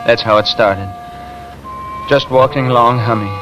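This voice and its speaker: A man's voice: mid-range voice, steady tone, measured pace, dull audio, lightly acted. Man playing a nervous working-class truck driver, music underneath. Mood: wry, uneasy narration. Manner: colloquial, defensive. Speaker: actor in a radio suspense drama. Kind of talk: 1950s radio drama acting, first-person narration. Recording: vintage radio recording with music underneath.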